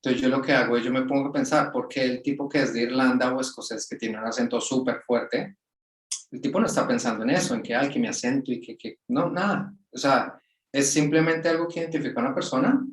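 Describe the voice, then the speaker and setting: A man, a guest on a webinar, talking in a relaxed, colloquial way over a video call. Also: medium pitch, fast talker. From Colombia. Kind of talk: casual webinar interview. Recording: roomy sound from off the mic.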